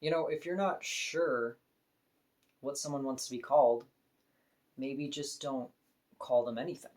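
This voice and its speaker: A woman with a deep voice, talking frankly and casually to camera in an opinion vlog, now and then laughing softly. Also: measured pace. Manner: frank, conversational, with soft laughs. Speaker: vlogger.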